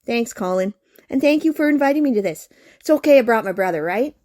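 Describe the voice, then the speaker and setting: A grateful female guest in a radio play, voiced by an actress. The voice sits a little high and is recorded dry, with hardly any room around it. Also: lively intonation, quick pace, a little mellow, no echo, natural voice.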